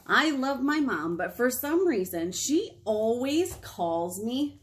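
A woman voicing an indignant little girl from a storybook, exclaiming her lines in an emphatic, drawn-out way. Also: a little high, sing-song. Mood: put out. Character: indignant little girl nicknamed Mouse, storybook narrator-heroine.

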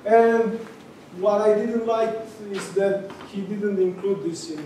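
A young man with a Romanian accent giving a tech meetup talk in a large room, halting with many fillers and restarts. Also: high-pitched, steady tone, warm tone, slight background noise. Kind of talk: conference talk. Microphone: far camera. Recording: echoey room from far off.

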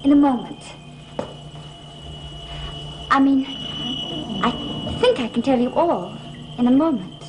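A woman's voice: high-pitched, animated, slow, pauses often, background noise. A young actress playing a playful, wilful socialite in a gothic soap opera, girlish and coaxing. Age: young adult. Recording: old TV soundtrack, music behind.

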